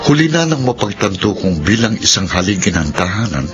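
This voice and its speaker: A man's voice: on the low side, sing-song, brisk, lo-fi sound, music in the background. A man acting an indecisive mama's-boy husband and father with a Filipino accent in a radio drama, earnest and deferring. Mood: regretful.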